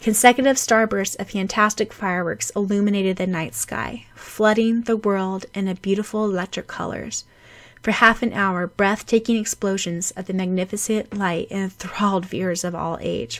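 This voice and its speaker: A woman narrating fan fiction as an audiobook, with a dramatic, animated delivery. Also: medium pitch, moderate pace, no echo.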